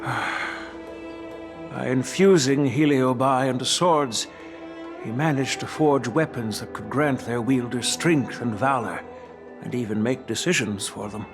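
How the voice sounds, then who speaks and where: An actor playing an elderly master swordsmith and grandfather, telling a grave story in a measured voice in a game cutscene. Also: mid-range voice, over music. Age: older. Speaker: game character. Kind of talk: video game cutscene voice acting.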